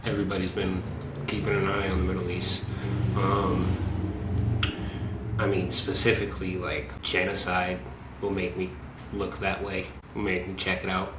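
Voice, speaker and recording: A man guesting on a podcast, talking casually and pausing to search for words. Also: low-pitched, monotone. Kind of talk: casual chat. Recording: echoey room with background noise.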